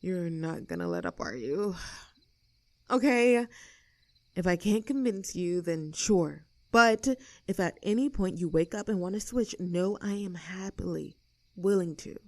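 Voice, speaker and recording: A woman's voice: fairly low pitch, expressive, no echo. A woman playing an easygoing young tomboy with a secret crush in an intimate audio roleplay, casual, teasing and tender in manner. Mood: insistent, friendly. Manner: casual, teasing, tender, halting when flustered.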